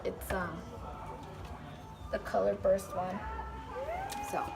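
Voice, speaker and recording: A young American woman with a voice hoarse from being sick, chatting through a casual makeup tutorial in a low-energy, hesitant way. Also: little pitch movement, slow pace, over loud music. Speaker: makeup vlogger. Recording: very noisy room with background chatter. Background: people and children talking.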